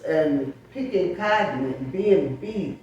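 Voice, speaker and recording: A woman's voice: deep voice, lively intonation, slow, a little mellow, lo-fi sound. Older woman, very echoey distant recording. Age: 70s or older. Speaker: interview guest, sociologist and teacher. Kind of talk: reflective conversational interview. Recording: very echoey room, distant mic, faint noise.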